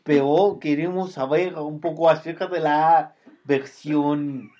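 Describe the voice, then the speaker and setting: A man's voice: on the high side, fairly even intonation, takes it slowly, warm tone. Teasing Mexican man, lo-fi sound. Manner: teasing, jokey interjections. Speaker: podcast co-host. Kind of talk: casual chat.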